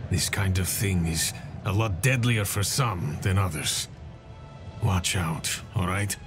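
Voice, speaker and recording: Game soldier: gruff but friendly, burdened by guilt, deep register, slow and downbeat. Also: male voice, music in the background. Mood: wry, grave. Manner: wry, downbeat, understated. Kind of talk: dramatic game dialogue.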